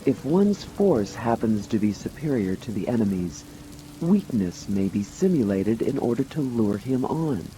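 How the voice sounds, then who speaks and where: A man reading scripted audiobook commentary in a deliberate, expository way. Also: mid-range voice, very animated, mellow, a little hiss or hum, poor audio. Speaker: audiobook narrator. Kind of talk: dramatized scripted narration of commentary. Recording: music and rain behind voice. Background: music and rain sounds.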